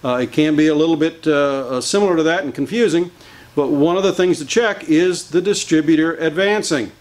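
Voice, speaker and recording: A man's voice: a little high, a little fast. An older man in a boat workshop, explaining engine repair in a plain-spoken way with many fillers. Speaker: boat mechanic. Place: large boat workshop indoors. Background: idling boat engine.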